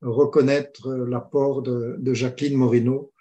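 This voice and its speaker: A man's voice: mid-range voice, flat delivery, unhurried, dark tone, dull audio. A man working as a mediator, talking through ideas with frequent fillers and restarts in a conversational interview. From France. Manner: hesitant, many restarts and fillers, storytelling. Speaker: mediator guest.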